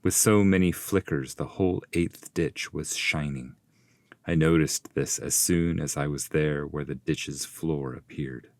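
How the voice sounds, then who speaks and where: Low-voiced man reading epic verse solemnly. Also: a little mellow, no echo. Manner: solemn, measured, literary delivery. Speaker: narrator and reader of epic poetry. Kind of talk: audiobook reading of classical verse.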